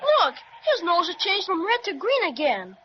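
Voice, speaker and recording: An excitable young boy. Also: extremely high pitch, moderate pace, a little hiss or hum. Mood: eager. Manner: eager, exclaiming. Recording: muffled 1930s radio recording.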